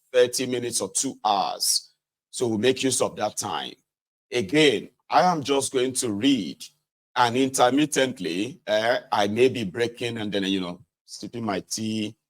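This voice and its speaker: A man hosts a livestream reading podcast. He talks to his audience in a conversational way at a middling pitch. He often checks in with short tags to keep listeners with him. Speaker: podcast host reading a book aloud. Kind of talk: casual livestream talk to listeners.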